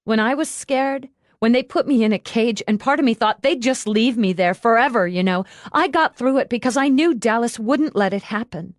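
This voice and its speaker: A woman's voice: on the high side, moderate pace, no room sound, studio quality, pitched above the performer's own voice, lightly acted. A woman voicing a bubbly, brash, affectionate young woman who gushes in slang, in an audiobook. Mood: earnest, pleading. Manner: gushing, slangy, exclamatory.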